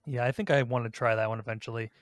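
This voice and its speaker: A man's voice: medium pitch, fairly even intonation, very fast, no echo, clean studio sound. A man hosting a podcast countdown in casual conversation, moving the discussion along. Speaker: podcast host leading a ranked countdown. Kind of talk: casual chat.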